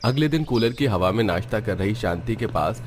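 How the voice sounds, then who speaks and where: Low-voiced male storyteller. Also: animated, a little fast, soft background music. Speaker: narrator. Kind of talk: scripted story narration for a cartoon.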